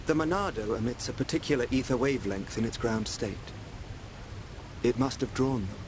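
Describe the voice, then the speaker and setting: A calm and enigmatic young stranger, played by a man, with a mid-pitched voice and courteous, formal phrasing. A clear low rumble sits behind this game cutscene voice. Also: natural voice. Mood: serene, knowing. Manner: formal, polite, measured phrasing. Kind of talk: video game cutscene acting.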